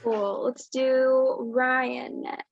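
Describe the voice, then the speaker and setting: A woman's voice: sits high, steady tone, extremely slow, gravelly. A woman hosting casual introductions on a group call. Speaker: meeting host. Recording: muffled video-call audio.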